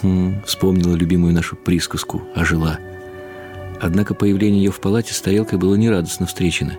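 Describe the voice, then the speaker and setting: An actor playing a wry, reflective elderly husband who narrates in the first person in short clipped phrases for a radio drama. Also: male voice, low-pitched, little pitch movement, fast talker, darkish tone, soft background music, lightly acted. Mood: tender, anxious.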